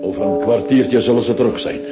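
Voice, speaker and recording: An actor playing a polite, wry gentleman friend in a radio drama, suave and formal. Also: fairly low pitch, quick pace, close to an everyday voice. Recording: muffled radio play with faint music.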